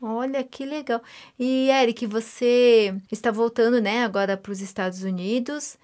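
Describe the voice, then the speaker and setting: A woman from Brazil hosting a radio interview, encouraging and quick to affirm her guest. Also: on the high side, steady tone, darkish tone. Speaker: radio host.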